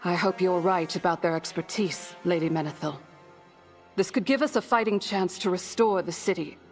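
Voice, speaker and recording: Game council member: woman playing practical, stern undead official, lowish, formal, music underneath. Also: normal speed, close to an everyday voice. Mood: focused. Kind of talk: video game cutscene acting.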